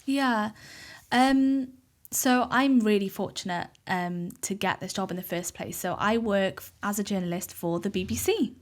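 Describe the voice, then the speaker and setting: A woman's voice: medium pitch, a little slow. A woman in her twenties with a Welsh accent, a native Welsh speaker, chatting casually as a podcast guest with frequent ums. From Cardiff, Wales. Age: 20s. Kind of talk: casual interview chat.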